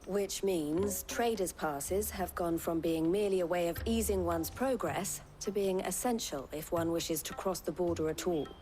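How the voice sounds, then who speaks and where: An actress playing a shrewd, haughty merchant in a video game, with a wry, businesslike manner. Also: female voice, on the low side, moderate pace, hardly any pauses, dark tone, slight background noise, lightly acted. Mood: measured, knowing. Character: brisk, shrewd, haughty female caravan owner.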